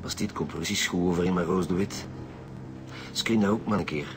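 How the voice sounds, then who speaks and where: A man plays a gruff Flemish detective in a radio play. His voice sits low, his delivery is determined and commanding, and music runs behind him. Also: moderate pace, natural voice. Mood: businesslike. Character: gruff, determined male police detective on surveillance. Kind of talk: radio drama acting.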